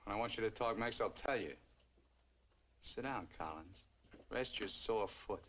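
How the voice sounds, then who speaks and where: An actor playing a menacing armed American hitchhiker, curt and commanding, in 1950s film noir style. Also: male voice, fairly low pitch, a little slow, fairly bright tone. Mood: cold threat. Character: menacing armed male hitchhiker killer bullying his captives. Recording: old film soundtrack, music or noise behind.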